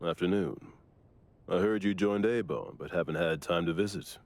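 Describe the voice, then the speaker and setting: A man's voice: sits low, normal speed, vocal fry, a little mellow. An actor voicing a stern, dutiful male lieutenant in an anime game, speaking in a dry, formal, weary way. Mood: dry, exasperated.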